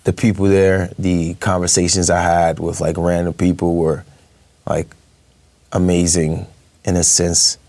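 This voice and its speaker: Young New man, low voice, dry close sound, hesitant and chatty guest. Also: flat delivery, a little slow, darkish tone, no echo. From Brooklyn, New York. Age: young adult. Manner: hesitant, many fillers, laughs easily. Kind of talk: casual interview chat.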